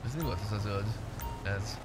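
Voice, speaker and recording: A man's voice: lowish voice, loud music behind the voice. A man doing casual, slangy and sometimes crude live gameplay commentary as a streamer. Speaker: game streamer. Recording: clear background engine and game noise.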